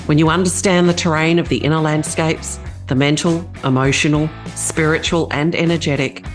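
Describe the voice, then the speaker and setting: A woman hosting a podcast, chatting in a rambling, effusive way as she introduces and questions her guest. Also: sits low. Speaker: podcast host and shamanic leadership coach. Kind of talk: casual interview chat.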